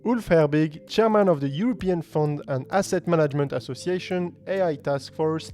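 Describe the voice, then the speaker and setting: A man's voice: on the high side, moderate pace, darkish tone. A man hosting a business podcast, reading a scripted intro and then hosting in an upbeat, enthusiastic way. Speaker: podcast host.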